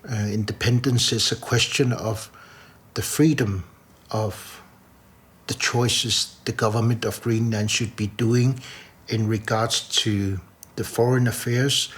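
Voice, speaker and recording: Slow, deliberate male interviewee. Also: fairly low pitch, steady tone, a bit bright. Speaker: interviewee on politics. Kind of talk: interview answer.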